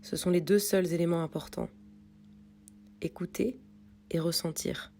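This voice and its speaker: A woman reading a guided yoga nidra meditation in a calm, soothing, unhurried way with pauses. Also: on the low side, slight background noise. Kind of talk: guided meditation read from a script.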